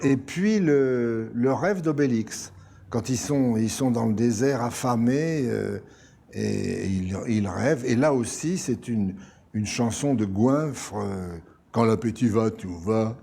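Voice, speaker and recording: A man's voice: medium pitch, slow pace, gravelly, warm tone, a little hiss or hum. An older man telling anecdotes in an interview about his work in animation. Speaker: animation writer recalling productions.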